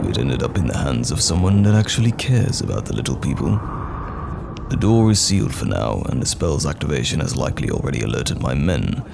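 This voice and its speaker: A man playing a steadfast knight general who protects common folk, measured, commanding and reassuring, in a fantasy audio roleplay. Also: very noisy, exaggerated voice. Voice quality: deep, gravelly. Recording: background music under the voice. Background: music.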